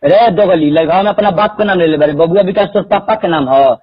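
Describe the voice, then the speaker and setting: A man's voice: high-pitched, a little fast. A man with a Bhojpuri accent on a recorded phone call, arguing in a confrontational, foul-mouthed way. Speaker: phone caller. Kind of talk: argumentative phone conversation.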